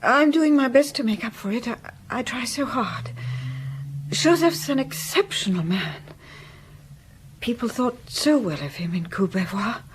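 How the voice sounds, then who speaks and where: A meek, guilt-ridden wife in a radio play. Her voice is slightly high, halting and full of self-reproach. Faint background noise sits behind her. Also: lively intonation. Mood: guilty, distressed. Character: guilt-ridden, anxious, insignificant village wife. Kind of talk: radio drama acting.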